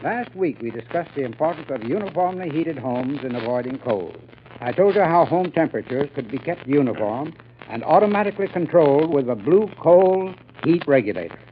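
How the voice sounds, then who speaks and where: A man as a friendly, enthusiastic sponsor's spokesman in old radio advertising style. His voice is a little high, and the sound is tinny with some hiss. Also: expressive, fairly quick, natural voice. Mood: earnest, folksy. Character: enthusiastic, friendly male heating expert giving radio sales talk. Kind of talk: 1930s radio advertising talk.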